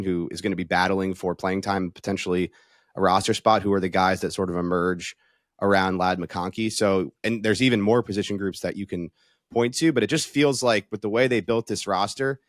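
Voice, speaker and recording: A man's voice: low-pitched, dry recording, clean studio sound. An American man giving conversational sports analysis as a guest reporter, explaining methodically point by point.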